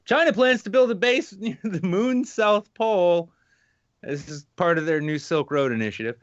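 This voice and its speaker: A man hosting a podcast with casual, comedic news commentary in an irreverent, sarcastic, animated manner. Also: sits high, normal speed, no echo, lo-fi sound. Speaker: podcast host.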